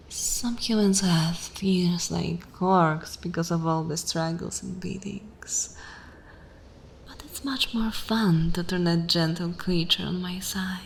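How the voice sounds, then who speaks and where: A woman voicing a dominant, sensual vampire mistress in an ASMR fantasy roleplay, commanding and savouring, often slipping into soft breathy whispers. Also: on the low side, a little hiss or hum. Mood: teasing menace. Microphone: close microphone.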